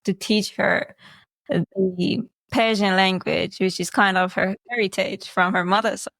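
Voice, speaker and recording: A woman's voice: medium pitch, fairly even intonation, a little slow, gravelly, fairly bright tone, no echo. Encouraging interview host, a woman. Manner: encouraging, restates the guest's points. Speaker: podcast host. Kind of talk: casual interview conversation.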